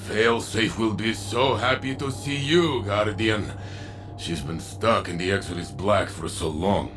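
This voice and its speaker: Guy playing a big-hearted old soldier in a sci-fi game, talks slow, music under him. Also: lowish voice, lightly acted. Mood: fond, rallying. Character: earnest, warm-hearted male veteran sci-fi warrior. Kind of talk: video game character dialogue. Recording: music behind the voice.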